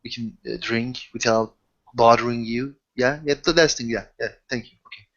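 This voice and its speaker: A man acting out a friendly, protective buddy in a tabletop game. He talks haltingly and familiarly, repeating himself, over dull lo-fi sound. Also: mid-range voice, steady tone, fairly bright tone, voice pushed down, natural voice. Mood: friendly, casual. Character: friendly, protective guy treating friends to beer.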